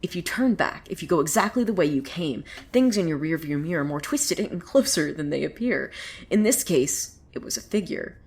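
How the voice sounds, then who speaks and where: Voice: mid-range voice, moderate pace, natural voice. A woman playing a wry, theatrical narrator of a surreal small town in a scripted audio drama, telling the tale dramatically. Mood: ominous. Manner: theatrical, swinging from hushed to excited. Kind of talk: dramatic scripted storytelling monologue.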